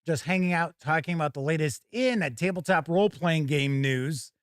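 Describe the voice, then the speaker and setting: A man's voice: fairly high pitch, moderate pace, no room sound, clean studio sound. A man hosting a casual live stream with folksy, hearty showman patter. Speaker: host of a tabletop gaming live stream.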